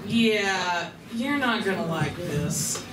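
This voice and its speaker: A woman's voice: medium pitch, lively intonation, slow pace, over music. A woman playing a cynical, matter-of-fact alien con artist with a casually teasing manner in a comedy radio play. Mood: hedging. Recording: roomy, distant convention recording, faint noise.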